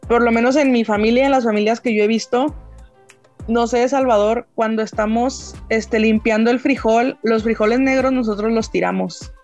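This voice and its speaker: A woman's voice: fairly high pitch, fairly even intonation, moderate pace, darkish tone, faint music behind. A woman from northern Mexico with a northern Mexican accent, chatting frankly and firmly as a guest. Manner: frank, firm and talkative. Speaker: podcast guest. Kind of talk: casual chat.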